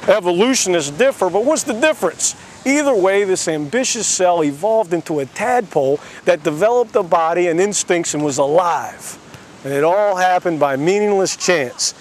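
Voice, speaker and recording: High-voiced man telling a sarcastic fairy tale. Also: expressive, normal speed, slight background noise. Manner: mock-naive, sarcastic explaining. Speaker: narrator. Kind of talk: satirical storytelling narration.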